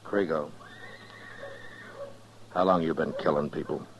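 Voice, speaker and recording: A man's voice: extremely low pitch, fairly even intonation, unhurried, creaky, crowd noise, exaggerated voice. An actor playing a stern, steely frontier lawman in a 1950s radio western, speaking in a terse, commanding way. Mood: grim, probing. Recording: dull, noisy 1950s radio recording.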